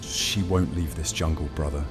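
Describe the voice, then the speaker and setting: A man's voice: a bass voice, fairly even intonation, moderate pace, music in the background. A man playing a grave vampire brother-in-arms in an audio drama, commanding and ominous. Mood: urgent, ominous. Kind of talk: dramatic audio fiction acting.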